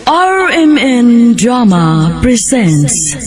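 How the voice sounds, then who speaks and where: A woman announcer introducing a radio drama in a theatrical, emphatic, drawn-out way. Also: on the high side, very animated, darkish tone. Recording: music behind the announcer.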